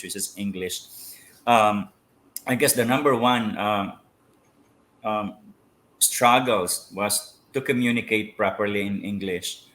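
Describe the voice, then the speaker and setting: A middle-aged man with a Filipino accent, a pastor answering interview questions in halting speech with many fillers and restarts. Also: fairly low pitch, fairly bright tone, a little hiss or hum. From Philippines. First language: Filipino language. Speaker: pastor, guest.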